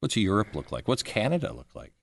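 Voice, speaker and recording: A man's voice: fairly low pitch, huge pitch swings, fairly quick, vocal fry. A man hosting a podcast who asks brief, understated questions of his guest. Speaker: podcast host. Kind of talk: interview questions.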